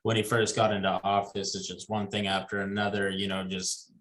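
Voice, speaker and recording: A man's voice: lowish voice, level tone, fairly quick, fairly bright tone. A man in his twenties talking casually as a podcast guest, easygoing and rambling with restarts. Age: 20s. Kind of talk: casual conversation.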